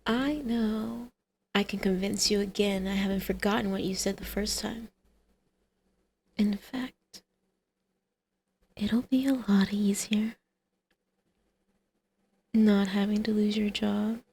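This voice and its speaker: A woman playing a teasing, flirtatious villainess in an intimate ASMR roleplay, with a creaky voice that often drops to a breathy whisper. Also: medium pitch, steady tone, slow pace, long pauses, a little mellow, exaggerated voice. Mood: seductive persuasion.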